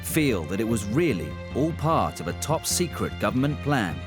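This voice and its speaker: A man's voice: mid-range voice, sing-song, normal speed. A man reading scripted narration as the narrator of a documentary. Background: prominent music.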